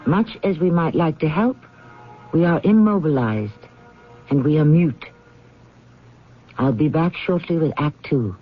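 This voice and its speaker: A man hosting a vintage radio mystery with grave, measured, theatrical narration. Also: a little high, lively intonation, faint music behind. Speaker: host of a radio mystery series. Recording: muffled vintage radio broadcast.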